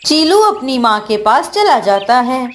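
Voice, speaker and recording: Female narrator for children's tales: high voice, unhurried scripted delivery. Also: very animated.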